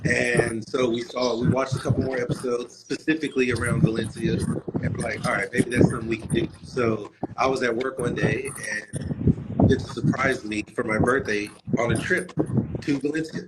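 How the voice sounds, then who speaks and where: A man's voice: mid-range voice, fairly even intonation, normal speed. A man from San Diego who grew up in Atlanta, a guest casually telling a rambling story with frequent restarts and laughs. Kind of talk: casual storytelling over video call. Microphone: video call. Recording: loud background noise on video call.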